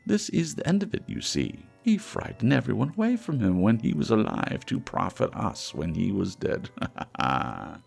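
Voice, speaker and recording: A man's voice: on the low side, wildly swooping pitch, normal speed, vocal fry, a little mellow, breathy edge, soft background music, voice pushed up, heavily acted. A man voicing a coarse, cold-hearted, brazen scavenging woman in a Victorian storybook reading. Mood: gloating. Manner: brash, unashamed Victorian working-class talk.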